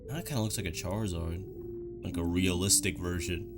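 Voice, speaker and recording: A man with a fairly low voice talks through game footage in a casual, conversational way. He reacts as he goes and slips in dry, joking asides. Music plays clearly behind him. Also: fairly quick. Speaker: host of a video reaction and analysis show. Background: background music.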